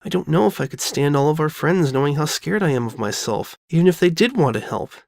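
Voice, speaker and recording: A woman's voice: deep voice, expressive, gravelly, darkish tone, no echo, studio quality. A woman voicing a frightened, guilt-ridden young female pony who stammers and doubts herself in an audio drama. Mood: hesitant. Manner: stammering, halting, anxious. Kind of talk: all-dialogue fan audio drama.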